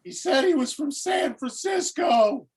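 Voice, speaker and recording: A woman's voice: sits high, lively intonation, a little mellow, raised voice. Flustered, hesitant young security kid, voiced by a woman. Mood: flustered, defensive. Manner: halting, unsure. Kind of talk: script table read acting.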